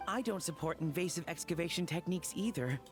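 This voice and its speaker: A male actor plays an earnest archaeologist in a video game, with a high voice for a man. He is eager and scholarly, with faint music behind him. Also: fast, lightly acted. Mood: earnest, fascinated.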